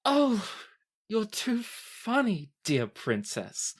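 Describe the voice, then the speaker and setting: A woman's voice: medium pitch, huge pitch swings, very slow, husky, voice pushed up, full character voice. A woman voicing a haughty, mocking noblewoman in a tabletop game, with a condescending, mock-polite drawl. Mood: sneering. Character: haughty, mocking noblewoman, sneering and easily enraged.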